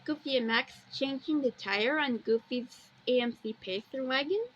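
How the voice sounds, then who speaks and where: A woman's voice: high-pitched, moderate pace, muffled recording, a little hiss or hum. A woman giving a casual amateur video walkthrough, plainly describing pictures and wandering off into personal asides.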